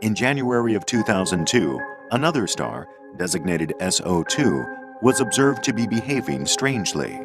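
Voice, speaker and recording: A man delivering scripted documentary narration in a measured, deliberate manner. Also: on the low side, sing-song. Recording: music under the voice. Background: background music.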